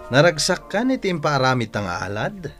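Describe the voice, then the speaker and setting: A man playing an excitable, blustery village husband and father in an Ilocano radio drama. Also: on the high side, moderate pace, loud music behind the voice. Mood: irritated.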